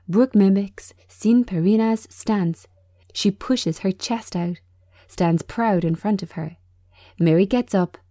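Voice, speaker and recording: A woman reads screen directions in a measured, unhurried way. Her voice is slightly low and very mellow, delivering short phrases of scripted narration. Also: no echo, studio quality. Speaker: screenplay reader.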